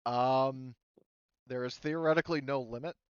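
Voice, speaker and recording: A man's voice: medium pitch, fairly even intonation, normal speed, smooth, no echo, highs cut off. A man running a tabletop game as game master, explaining rules and thinking aloud in a casual way.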